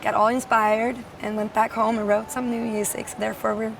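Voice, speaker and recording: A woman musician with a naturally hoarse voice, chatting in a friendly casual interview outdoors. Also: a little high, little pitch movement, brisk. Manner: friendly, laughs easily. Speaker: musician guest. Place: outdoors at a festival. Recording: distant mic, faint street noise.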